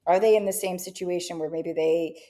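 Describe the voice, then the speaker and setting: Woman podcast cohost, lowish voice, mellow, chatty and upbeat, keeps saying right?. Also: steady tone, a little fast. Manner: upbeat, tags many points with "right?". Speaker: podcast co-host. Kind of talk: casual business podcast discussion.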